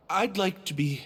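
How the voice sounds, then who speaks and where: A man voicing an earnest, lovestruck prisoner in a video game, polite and sincere, a little halting. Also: sits high, fairly bright tone, a little hiss or hum, exaggerated voice. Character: earnest, lovestruck male prisoner, grateful and hopeful.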